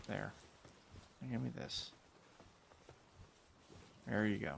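A man's voice: fairly low pitch, very slow, vocal fry, slight background noise. A man chatting casually with his partner during co-op gameplay. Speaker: co-op gaming partner.

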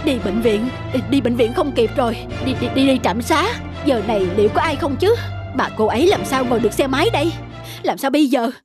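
A woman's voice: sits high, a little fast, over music, close to an everyday voice. A woman voicing a shifty young male motorbike-taxi driver, brusque and easily flustered, in a cartoon. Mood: flustered, anxious. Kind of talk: cartoon voice acting.

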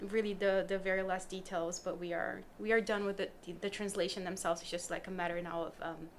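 A woman's voice: medium pitch, fairly even intonation, fast, a little hiss or hum. A woman chatting casually as a podcast guest, with hesitant, loose phrasing. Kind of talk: casual interview chat.

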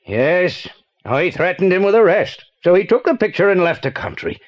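Excitable British male art dealer, middle-aged, high voice that swoops wildly, theatrical audio drama style. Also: normal speed, highs cut off. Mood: frustrated. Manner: excitable, theatrical, well-spoken. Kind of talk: audio play acting for children.

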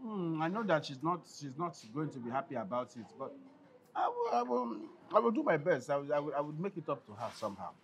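An actor with a Nigerian accent playing a defiant, unfaithful husband in a film drama, repeating words for emphasis. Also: male voice, a little high, big pitch swings, fast, a crowd in the background, poor audio. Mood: tender, pleading. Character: defiant unfaithful husband longing for his peaceful ex-wife.

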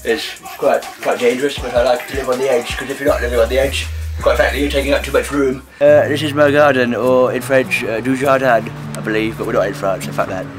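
Young man, deadpan comic tour guide, dry and mock-serious, handheld camera, music loud behind. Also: mid-range voice, quick pace, hardly any pauses. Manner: dry, mock-serious, sudden crude outbursts. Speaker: band member. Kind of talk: jokey deadpan house tour. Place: wooden garden shed and garden outdoors. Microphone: wide-angle handheld camera. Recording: music playing over the voice.

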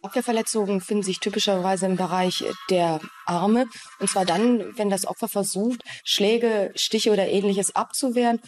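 A female forensic expert explains her field in an instructive way. Her voice sits at a medium pitch. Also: fairly even intonation, normal speed, hardly any pauses, a little mellow.

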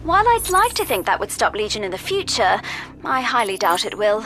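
A woman's voice: on the high side, very animated, brisk, fairly bright tone. A woman voicing a composed, caring mission controller in a video game, earnestly briefing an agent. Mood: concerned, instructing.

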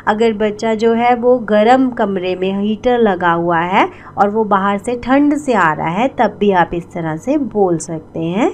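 Slow, repeating Indian teacher woman. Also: medium pitch, slight background noise. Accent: Indian English. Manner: repeats each English sentence slowly twice. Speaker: English teacher. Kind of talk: slow bilingual language lesson.

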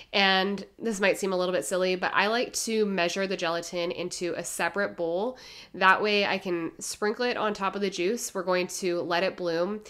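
A young woman giving a gentle, measured voice-over as she explains her home cooking step by step. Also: lowish voice. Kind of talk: calm instructional voice-over.

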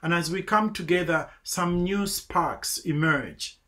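Slow, earnest man from Zambia. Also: fairly high pitch, bright, forceful tone. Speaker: webinar speaker. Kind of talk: reflective closing remarks. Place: home office with bookshelves. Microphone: video call.

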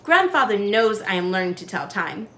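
A woman's voice: mid-range voice, very animated, moderate pace, pitched below the performer's own voice. A woman voicing an eager, sweet seven-year-old girl in a children's storybook. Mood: curious. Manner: eager, bright-eyed, polite. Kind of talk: children's storybook read-aloud.

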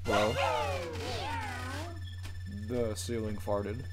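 A man's voice: fairly low pitch, little pitch movement, drawn-out words. A young man co-hosting a gaming video, goofy and full of silly jokes. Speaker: gaming channel co-host. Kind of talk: casual gaming banter. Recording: loud game music behind.

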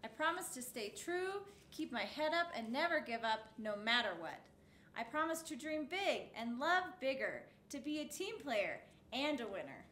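Bright, high-voiced woman reading a storybook. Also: very animated, moderate pace. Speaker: storytime host reading a picture book. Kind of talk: read-aloud children's storytime. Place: indoor set with backdrop, seated. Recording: roomy echo, faint background noise.

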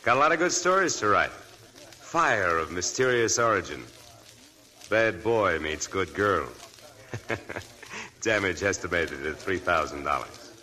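A sly, sneering reporter in an old 1940s radio play, low-voiced, bright and lively in his taunts. The old broadcast has a long hall echo. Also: exaggerated voice. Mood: mocking. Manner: taunting, drawn-out sarcasm. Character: sneering male newspaper reporter, mocking and sly.

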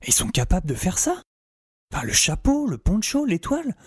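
An actor playing a wry, excitable young male survivor narrating his wasteland adventure in a wordy, theatrical, self-mocking way for an audio saga. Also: medium pitch, fairly quick, breathy edge, no room sound, clean studio sound, full character voice. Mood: amazed, determined. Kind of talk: dramatised first-person audio saga narration. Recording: loud music bed under the voice.

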